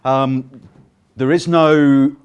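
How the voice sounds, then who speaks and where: A man's voice: mid-range voice, extremely slow, clean tone, highs cut off. A middle-aged British man giving a conference talk, projecting his voice without a microphone and speaking with plenty of ums and uhs. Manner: projecting to fill a room without a sound system; lively, frequent ums and uhs. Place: conference room.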